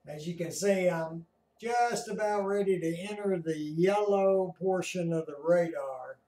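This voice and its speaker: Slow-talking older American man in a room. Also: high-pitched, mellow. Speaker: amateur radio operator, video host. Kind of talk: casual explanatory chat. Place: small home radio room, indoors. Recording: roomy, distant from the mic.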